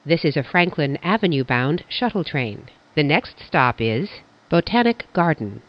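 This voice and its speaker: A transit announcer reading scripted train announcements in a formal, measured way. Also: mid-range voice, big pitch swings. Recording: dull, noisy train announcement recording.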